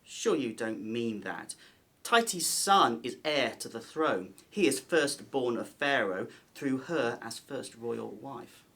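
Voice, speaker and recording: A man's voice: mid-range voice, animated, slow, sharp tone, full character voice. A man playing a nervous, wary palace conspirator of ancient Egypt in an audio drama, speaking formally and theatrically. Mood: alarmed, wary.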